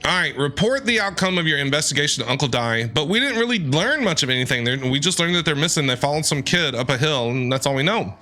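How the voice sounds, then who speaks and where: A man's voice: on the high side, lively intonation, fast, hardly any pauses, bright, forceful tone. A man giving casual, wry commentary as he plays a video game, with joking asides. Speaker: streamer reacting to a video game.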